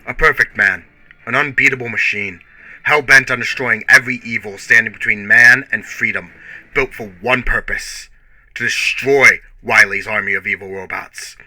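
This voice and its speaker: Forceful, low-voiced man narrating radio drama. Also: moderate pace, no echo, low-quality recording. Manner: theatrical, grave, emphatic storytelling. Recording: music bed under the voice. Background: music.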